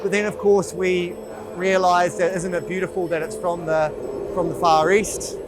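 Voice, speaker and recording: Trumpet player guy, kinda higher voice, relaxed and chatty, music in the background. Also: normal speed. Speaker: trumpet performer. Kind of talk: interview reflection.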